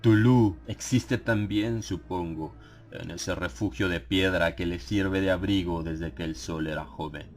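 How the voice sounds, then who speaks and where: A man narrating a dramatized horror audiobook in a grave, theatrical manner with dramatic pauses. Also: deep voice, steady tone, darkish tone. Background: background music.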